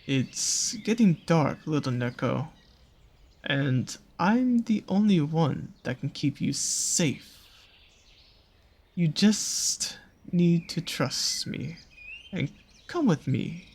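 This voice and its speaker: A performer voicing a seductive, slyly menacing naga monster in an intimate audio roleplay, with a husky, breathy voice and slow, coaxing, teasing phrasing. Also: mid-range voice, animated, a little mellow, full character voice. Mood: sly, ominous.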